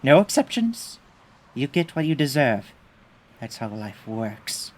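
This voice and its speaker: An unbending cartoon schoolteacher played by a man with a medium-pitched voice. He is stern and clipped, over faint background ambience. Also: sing-song, takes it slowly, a little hiss or hum. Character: strict, unbending male school teacher.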